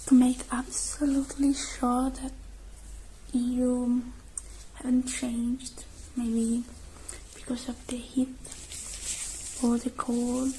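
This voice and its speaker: A woman doing an ASMR roleplay as a technician, whispering softly and breathily close to the microphone, murmuring gently through each step. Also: high-pitched, slight background noise, low-quality recording. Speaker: ASMR creator playing a robot technician.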